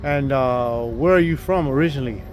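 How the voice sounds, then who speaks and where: A man's voice: mid-range voice, moderate pace. Texan man interviewing over traffic noise. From Dallas, Texas. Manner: friendly, simple questions with fillers. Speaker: street interviewer, channel host. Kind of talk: live street interview. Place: outdoors by a busy road. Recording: heavy traffic noise outdoors.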